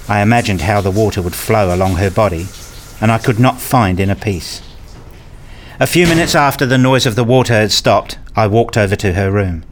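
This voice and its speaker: A man's voice: fairly low pitch, slight background noise, close to an everyday voice. A man playing a frustrated, pleading father who tells his own life in a radio play, wordy and earnest. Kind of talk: first-person radio play narration and dialogue.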